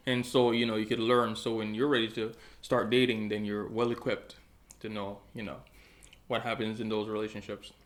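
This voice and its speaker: A man from Jamaica co-hosting a casual advice chat, calm and low-key with dry humor. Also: moderate pace. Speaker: co-host.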